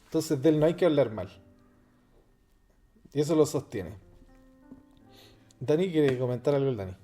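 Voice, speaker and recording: A man's voice: mid-range voice, expressive, normal speed, warm tone, faint music behind. A man hosting a podcast, jumping in to ask the guests questions. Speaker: podcast host. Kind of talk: casual podcast chat.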